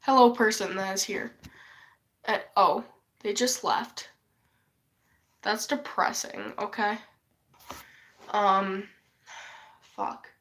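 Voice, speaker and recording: A woman's voice: mid-range voice, takes it slowly, long pauses, fairly bright tone. A woman who is losing her voice from a cold, rambling in a casual vlog, sighing often and swearing offhandedly. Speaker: vlogger.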